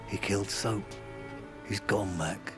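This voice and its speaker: A hardened English commando bent on revenge. The voice is low and gravelly, the delivery weary and clipped, in cinematic game style over loud music. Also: male voice, fairly even intonation, slow pace. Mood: vengeful resolve. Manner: terse, weary, hard-edged. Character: gruff, grim, vengeful veteran British male commando. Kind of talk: cinematic video game acting.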